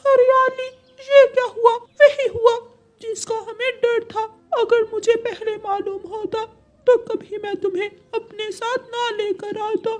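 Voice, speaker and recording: A woman's voice: very high-pitched, steady tone, moderate pace, darkish tone, pitched above the performer's own voice. A woman voicing a devoted young father parrot with a pleading, plaintive manner in a children's cartoon story. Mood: anguished, grieving.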